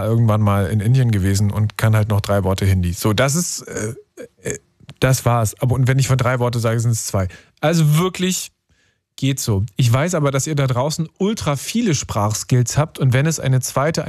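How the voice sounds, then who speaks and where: A man's voice: mid-range voice, darkish tone, no echo, studio quality. A young man hosting a radio call-in show, chatting informally to listeners in youthful slang sprinkled with English words. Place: dry radio studio.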